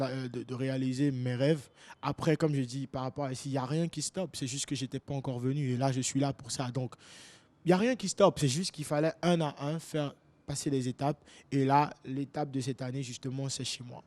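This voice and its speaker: A man's voice: mid-range voice, brisk, a little mellow. A young man from Guinea, a music artist, answering interview questions casually and rephrasing as he goes.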